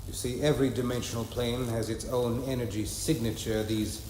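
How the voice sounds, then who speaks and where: A man's voice: medium pitch, steady tone, fairly quick, a little mellow, natural voice. A man playing a condescending inventor in a sci-fi comedy audio drama, speaking formally and precisely with sarcasm. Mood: stern, sardonic. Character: curt, condescending adult male inventor of interdimensional machines. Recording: echoey hall, faint background noise.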